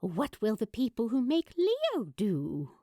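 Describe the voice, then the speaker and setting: Casting: composed chat-show hostess voiced by a woman, polished presenter delivery, high and lively, for a storybook reading. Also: takes it slowly, no room sound, clean studio sound, full character voice. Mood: inquiring. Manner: announcing, presentational. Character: composed, polished female TV talk-show host.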